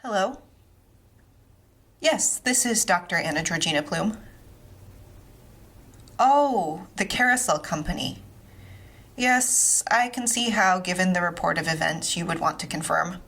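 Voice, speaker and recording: Casting: actress for courteous, formal, quirky female doctor in comic fiction podcast, husky, deeper register. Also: a little slow, long pauses, full character voice. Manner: formal, polite, deadpan. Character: eccentric, courteous woman doctor on the telephone. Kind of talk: comic audio fiction monologue.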